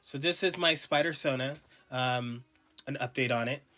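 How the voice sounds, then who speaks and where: Man, bright stuffy voice, casual rambling drawing talk, faint music under it. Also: medium pitch, normal speed. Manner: rambling, many ums and uhs. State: congested from allergies. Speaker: artist streaming a drawing session.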